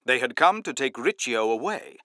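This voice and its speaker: A man's voice: medium pitch, sing-song, normal speed, bright, forceful tone, dry recording, studio quality. A man playing a formal, grave news reporter who declaims royal news in an audiobook. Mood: grave, urgent.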